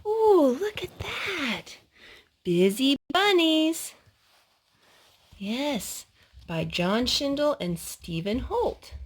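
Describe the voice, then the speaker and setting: A woman's voice: high voice, sing-song, drawn-out words, long pauses. A woman hosting a children's storytime, reading picture books aloud and asking little prompting questions in a child-directed way.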